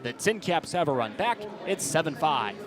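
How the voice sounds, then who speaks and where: A man's voice: on the high side, very animated, moderate pace, a crowd in the background. An American man doing live baseball play-by-play in clipped, present-tense calls, built to swell on big plays. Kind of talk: live sports commentary. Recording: ballpark ambience behind the voice. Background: outdoor stadium background noise.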